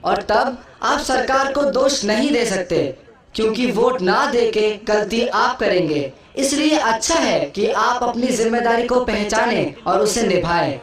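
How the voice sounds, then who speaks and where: A woman's voice: on the high side, animated, a little slow. A woman playing an earnest schoolchild in a puppet show, speaking in a declamatory way. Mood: earnest, pleading. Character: earnest schoolchild persuading elders. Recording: very echoey hall, distant mic.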